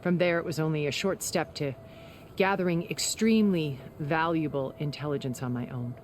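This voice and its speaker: An actress playing a composed, secretive, cultured woman in a video game, speaking in a measured, articulate, deliberate way. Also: low-pitched, slight background noise, natural voice. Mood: somber, reflective. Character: composed, secretive, cultured older woman, former intelligence broker. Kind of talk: video game cutscene dramatic acting.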